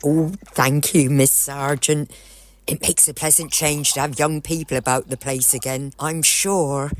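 An actress playing an older, superstitious country housekeeper in an audio drama, homely and deferential, with an English accent. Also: female voice, sits low, steady tone, unhurried. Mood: pleased.

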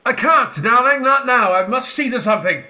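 A troubled, evasive gentleman played by a man in old-time radio style. His voice is high and very forceful, with swooping pitch. The sound is a little dull. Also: expressive, normal speed, exaggerated voice. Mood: alarmed, distracted.

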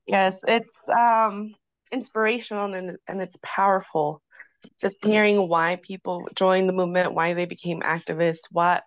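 A woman's voice: on the low side, no echo, dull audio. A woman in a reading group discussion, speaking reflectively and thoughtfully over a video call. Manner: reflective, thoughtful, with frequent fillers. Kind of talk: casual discussion.

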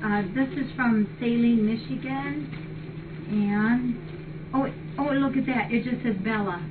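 A woman's voice: on the high side, measured pace. An older woman chatting casually and appreciatively as she hosts a live webcam stream and reads things aloud. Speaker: cat rescue shelter host. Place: large indoor cat shelter room. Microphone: distant fixed webcam. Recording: muffled, noisy webcam audio from far off.

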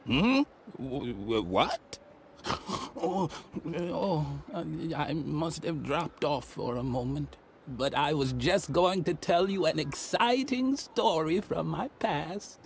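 A man's voice: a little high, animated, slow pace, vocal fry, slight background noise, exaggerated voice. An actor voicing an ancient, muddled old man in a game who rambles boastful tall tales. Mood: startled. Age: older.

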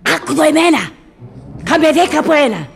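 A woman's voice: high voice, big pitch swings, vocal fry, a little hiss or hum, heavily acted. An actress in a radio drama playing an old witch, chanting spells in an incantatory, declamatory manner. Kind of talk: theatrical radio drama acting.

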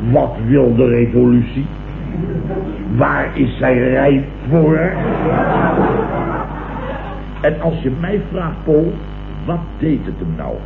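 Muffled old radio comedy: blustering, self-satisfied boss, swooping intonation, echoey room. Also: male voice, mid-range voice, lively intonation, takes it slowly, creaky, full character voice. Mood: smug, boastful. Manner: pompous, self-satisfied, repeats himself. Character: pompous, blustering male company boss, vain and excitable. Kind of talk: 1960s Dutch radio comedy acting. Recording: muffled, hissy, echoey vintage radio recording.

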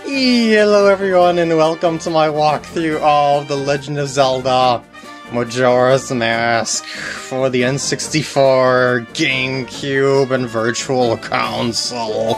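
A young man giving casual, unscripted walkthrough commentary in a halting way with wry asides. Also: mid-range voice, steady tone, soft background music.

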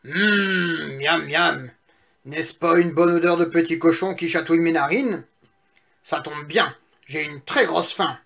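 A man's voice: on the high side, vocal fry, fairly bright tone, heavily acted. Man playing a big bad storybook wolf, drawling threats. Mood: greedy. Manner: slow, drawn-out threats. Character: big bad wolf, hungry, boastful and menacing. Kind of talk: children's storybook character voice.

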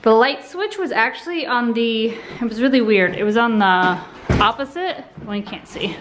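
A young adult woman casually walking through a house under renovation on a handheld phone, talking in a relaxed, rambling way with restarts. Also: fairly high pitch, normal speed, background noise. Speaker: vlogger giving a home tour. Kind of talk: casual walkthrough narration. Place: indoors, empty rooms of a house under renovation. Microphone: handheld phone camera.

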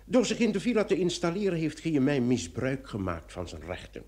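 A man's voice: on the high side, wildly swooping pitch, brisk, darkish tone. A man playing a methodical, self-assured reasoner on a murder case in an old Dutch radio play, speaking emphatically. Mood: emphatic, reasoning.

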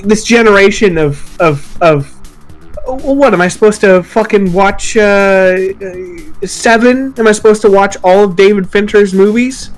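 A man hosting a casual podcast chat, swearing freely and mocking himself in a halting delivery. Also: high voice. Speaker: podcast host. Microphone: voice call microphone. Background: music.

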